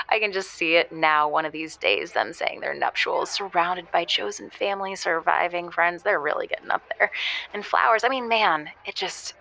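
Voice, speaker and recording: Gushing, creaky-voiced romantic caller. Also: female voice, fairly low pitch, sharp tone, full character voice, rough recording. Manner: effusive, dramatic, terms of endearment. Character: gushing, theatrical, romantic regular caller to a radio show. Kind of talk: comedic audio drama radio call-in.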